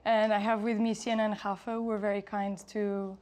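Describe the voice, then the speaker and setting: Young woman from lisbon hosting a talk, mid pitch, friendly, lots of uhs. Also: little pitch movement, a little fast, darkish tone. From Portugal, Lisbon. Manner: friendly, hesitant, many fillers. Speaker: host, photographer.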